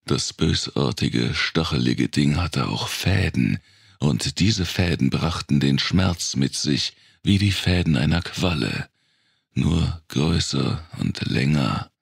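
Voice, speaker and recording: A man with a deep, gravelly, husky voice reads a dark thriller audiobook in a measured, deliberate storytelling manner. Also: no room sound, clean studio sound. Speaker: audiobook narrator. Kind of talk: scripted narration of a dark post-apocalyptic thriller audiobook.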